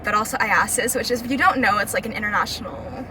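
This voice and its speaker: Young woman, casual guest chat, slightly high, noisy backdrop. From Thailand. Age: young adult. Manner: chatty, laughs often, quick asides. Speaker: interview guest.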